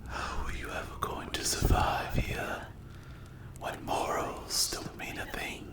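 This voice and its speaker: Slow, whispering, mocking demon, acted out by a performer. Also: natural voice. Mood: mocking, ominous. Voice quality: breathy whisper. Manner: slow, taunting, hushed storytelling. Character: mocking sleep paralysis demon, ancient, cruelly amused watcher. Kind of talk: whispered ASMR dark fantasy roleplay.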